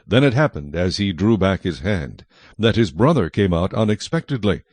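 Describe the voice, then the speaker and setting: A man's voice: deep voice, big pitch swings, moderate pace, darkish tone, no echo. A man reading scripture as the narrator of a dramatized audio Bible.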